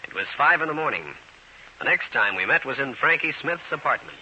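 An American actor playing a tough, wry New York private detective, narrating and talking briskly in 1940s radio drama style. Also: male voice, mid-range voice, big pitch swings, sharp tone, breathy edge, full character voice. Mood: matter-of-fact. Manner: brisk, hard-boiled, clipped delivery. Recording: hissy, dull old-time radio recording.

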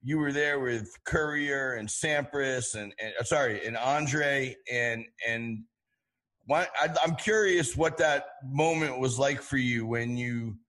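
Halting male interviewer with a mid-range voice. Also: medium pitch, pushed and bright. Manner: halting, restarts questions repeatedly. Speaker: show host, interviewer. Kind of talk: conversational interview.

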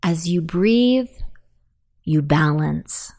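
Very slow-speaking woman guiding sleep meditation. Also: low voice, expressive, darkish tone. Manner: soothing, unhurried, deliberate. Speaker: meditation guide. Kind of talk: guided sleep meditation narration.

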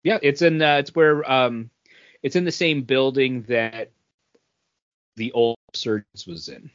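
A man's voice: mid-range voice, unhurried, no room sound. A man chatting casually as a podcast co-host. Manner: frequent throat clearing. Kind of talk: casual chat. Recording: dull, dry lo-fi podcast audio.

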